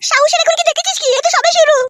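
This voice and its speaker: A woman's voice: very high voice, steady tone, very fast, very noisy. A woman voicing a scheming, quarrelsome village mother-in-law in a comedy cartoon, scolding and combative. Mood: furious, taunting. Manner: scolding, combative, foul-mouthed. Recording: music playing behind.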